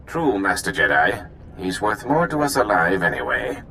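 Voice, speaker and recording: A man's voice: low-pitched, sing-song, moderate pace, sharp tone, a little hiss or hum, full character voice. A man playing an earnest resistance leader in a video game, speaking with pressing, sincere authority. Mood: relieved, grateful. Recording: music behind the voice.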